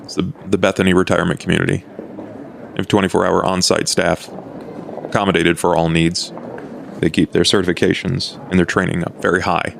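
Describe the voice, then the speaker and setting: A low, gravelly male vampire played by a man at a gaming table, old and courteous. He talks in measured, formal phrases with understatement, over faint background noise. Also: little pitch movement, fairly bright tone, full character voice. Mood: earnest, formal.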